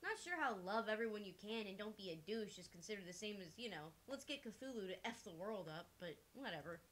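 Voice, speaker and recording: A woman's voice: mid-range voice, animated, a little fast, fairly bright tone, a little hiss or hum, natural voice. A woman voicing a brash, foul-mouthed young witch detective in a visual novel, irreverent and slangy. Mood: sarcastic. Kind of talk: acted reading of visual novel dialogue.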